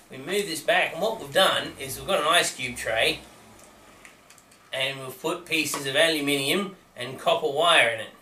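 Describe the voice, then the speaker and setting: A man's voice: on the high side, bright, forceful tone, a little hiss or hum. A man co-hosting a casual comedic chat, rambling and self-deprecating and tripping over his words. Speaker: co-host. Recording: echoey room, heard from a distance.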